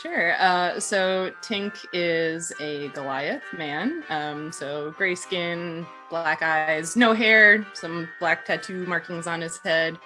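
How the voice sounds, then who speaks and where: A woman's voice: on the low side, slow, few pauses, low-quality recording. A woman playing a tabletop game, casually describing things in an unscripted way. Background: background music.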